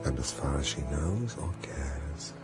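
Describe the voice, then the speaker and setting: An actor playing a reserved, melancholy Russian prince in a radio drama, formal and introspective. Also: male voice, extremely low pitch, animated, a little slow, over loud music. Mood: wistful, brooding.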